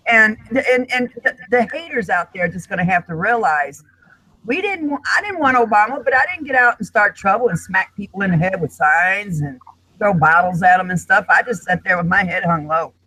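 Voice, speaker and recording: Bright-voiced guy on a group call, high pitch, rambles, restarts, gets worked up. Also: animated, fairly quick, dry recording, low-quality recording. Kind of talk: casual group video chat.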